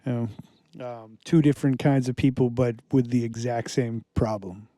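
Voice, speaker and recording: A male musician guest talking casually in a radio studio, halting with many fillers and restarts. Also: mid-range voice, steady tone, dark tone.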